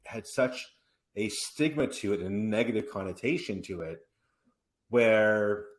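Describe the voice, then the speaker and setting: A man in his forties hosting a podcast, telling stories in an animated, rambling way with many restarts and asides. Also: on the low side, unhurried. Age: 40s. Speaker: podcast host. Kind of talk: casual conversational interview. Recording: roomy echoey room.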